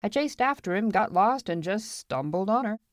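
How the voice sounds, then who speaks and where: A woman's voice: mid-range voice, lively intonation, normal speed, no echo, pitched above the performer's own voice, lightly acted. A big, bold frontier gold hunter played by a woman narrator in a western audiobook. He talks in a folksy, boastful way and likes to taunt. The sound is dry and close. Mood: matter-of-fact.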